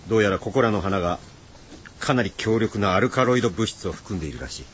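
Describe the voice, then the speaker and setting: A man's voice: on the low side, lively intonation, fast, lightly acted. An actor playing a courteous, pedantic scholarly man in a radio drama, explaining things in polite, old-fashioned phrases. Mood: grave, explanatory. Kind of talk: Japanese radio drama acting. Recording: dull audio with faint background noise.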